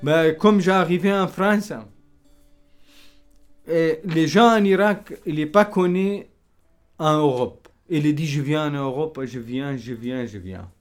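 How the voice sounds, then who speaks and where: Man speaking broken, slow phrases. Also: fairly high pitch, clear, resonant tone, soft background music. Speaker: interviewee.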